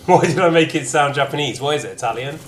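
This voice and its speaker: A man's voice: a little high, fast, soft background music. A man giving casual, playful commentary while playing a video game, chatting and gushing in asides. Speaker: gamer commentating his play. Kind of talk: casual let's-play commentary.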